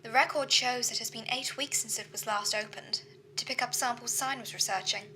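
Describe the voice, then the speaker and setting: A woman playing a courteous, dutiful shipboard AI in a science fiction audio drama, speaking in formal, measured reports. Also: a little high, fairly even intonation, pushed and bright. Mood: matter-of-fact. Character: female ship's computer AI, precise, dutiful, courteous. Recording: faint background noise.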